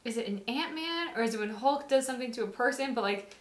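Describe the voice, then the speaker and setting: A woman's voice: a little high, lively intonation, fast talker. A woman in her thirties chatting casually as co-host of a reaction show. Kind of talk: casual chat. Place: small indoor set with backdrop, seated. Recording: echoey room.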